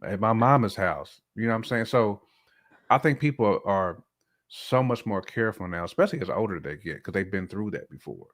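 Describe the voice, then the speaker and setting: A man's voice: fairly low pitch, animated, brisk. An American man in casual podcast conversation, talking in a colloquial, slangy way with emphatic repetition.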